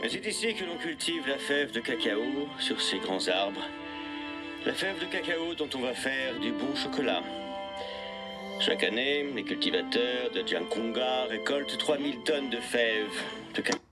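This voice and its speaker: An actor playing a measured, didactic documentary narrator in a cartoon. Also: male voice, medium pitch, lively intonation, close to an everyday voice. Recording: music behind the voice.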